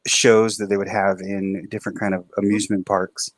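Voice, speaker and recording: A man with a baritone voice and a bit of a twang, telling rambling stories in a casual late-night stream chat. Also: level tone, normal speed, no echo. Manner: rambling storyteller, restarts a lot. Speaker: stream co-host.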